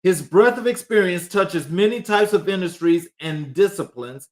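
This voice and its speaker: A man's voice: high-pitched, lively intonation, normal speed. A man hosting an interview show, reading a formal guest introduction in a list-like way and stumbling over fillers in conversation. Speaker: show host.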